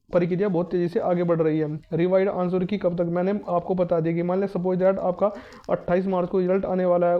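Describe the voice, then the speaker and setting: A dull, lo-fi recording of a man presenting an update for students. His voice is notably high for a man and stays in a narrow, even range. He addresses his listeners directly, repeating points to reassure them. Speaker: exam-coaching YouTube presenter.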